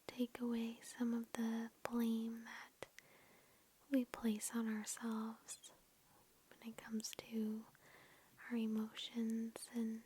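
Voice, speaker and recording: Female ASMR meditation guide, hushed unvoiced whisper, very even and unhurried, faintly noisy lo-fi recording. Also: on the high side. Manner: gentle, reassuring, unhurried. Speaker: ASMR host. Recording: lo-fi with background noise and clicks.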